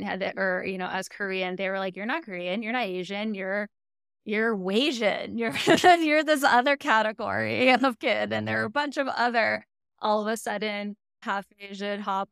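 A woman's voice: medium pitch, creaky, a bit bright, clean tone, no echo, clean studio sound. An American woman hosting a podcast, talking in a chatty, reflective way with frequent fillers and light laughs. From United States. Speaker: podcast host. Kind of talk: casual interview chat.

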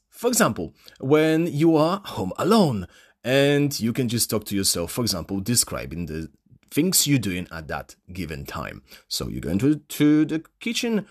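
A man's voice: mid-range voice, slightly rough. A man from Poland with a Polish accent, hosting a solo podcast for English learners and recording on his phone. He talks casually, asks himself rhetorical questions and uses plenty of fillers. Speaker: podcast host and English teacher.

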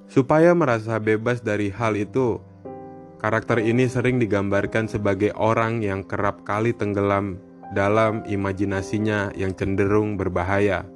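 Low-voiced man narrating over music. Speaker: podcast host. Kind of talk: scripted narration for a horror podcast. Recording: music bed behind the voice. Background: background music.

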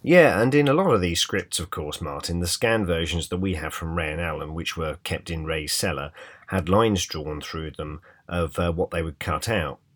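A man's voice: low-pitched, moderate pace. A man co-hosting a podcast who chimes in agreeably during a casual discussion. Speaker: podcast co-host.

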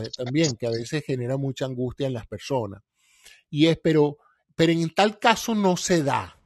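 A man's voice: a little high, darkish tone, no echo, clean studio sound. A middle-aged man who hosts a science talk, explaining ideas patiently with everyday examples.

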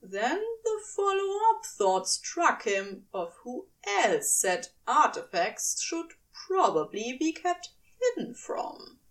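A woman's voice: high voice, very animated, takes it slowly, distant mic, rough recording. A woman reading fiction aloud as dramatic scripted narration. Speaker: fiction reader.

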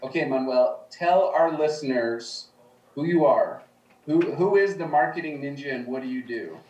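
A man's voice: a little high, normal speed, a little mellow, roomy sound, far from the mic, poor audio. A man co-hosting a podcast and opening an interview, upbeat and welcoming as he introduces his guest. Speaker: podcast co-host and company CEO.

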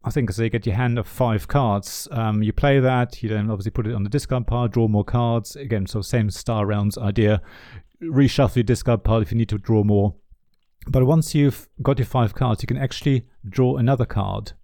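A man whose first language is German, chatting casually about board games as a podcast co-host, with a relaxed, rambling delivery full of restarts. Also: medium pitch, brisk, no echo, clean studio sound. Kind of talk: casual board game chat.

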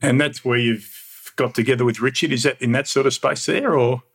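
A man's voice: medium pitch, steady tone, a little fast, husky. A man hosting an interview podcast, asking friendly, rambling questions. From Australia. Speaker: podcast host. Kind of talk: interview host.

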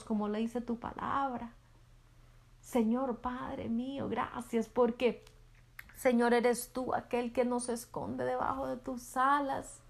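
A woman leading a fervent spoken prayer with rhythmic repeated invocations, at times dropping to a soft near-whisper. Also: fairly high pitch. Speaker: prayer leader.

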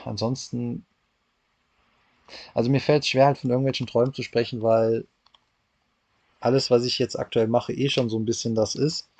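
A man's voice: medium pitch, creaky, a little mellow, no echo. A man in his thirties, a racing driver answering interview questions casually, hesitant with many fillers and restarts, talking over a call from a hotel room. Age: 30s.

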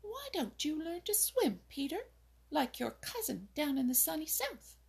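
A woman voicing a sharp, scolding little female wren in a storybook, with a raspy voice. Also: high-pitched, big pitch swings, a little slow, pitched above the performer's own voice, heavily acted.

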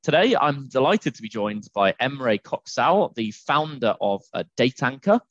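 A man's voice: medium pitch, big pitch swings, unhurried, a bit bright, dry recording, muffled recording. A man hosting a tech startup podcast, interviewing a guest in a friendly way with fillers like "sort of". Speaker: podcast host.

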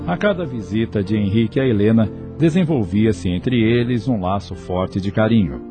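A man's voice: lowish voice, moderate pace. A man with a Brazilian accent, reading scripted narration for a radio drama. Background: music.

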